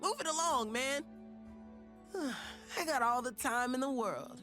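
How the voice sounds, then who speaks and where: An actor playing a cocky, warm, street-smart teenage boy inventor in a video game, with playful, breezy slang. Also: sits high, expressive, a little slow, faint music behind, heavily acted.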